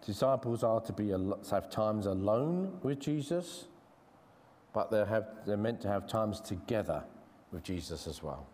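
English preacher in a reverberant hall. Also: male voice, lowish voice, lively intonation, a little mellow. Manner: earnest, conversational, with frequent restarts and slow emphasis. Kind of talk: sermon. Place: church.

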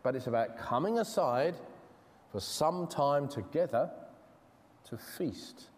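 English preacher in a reverberant hall. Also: male voice, on the high side, animated. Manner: earnest, conversational, with frequent restarts and slow emphasis. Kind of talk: sermon. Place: church.

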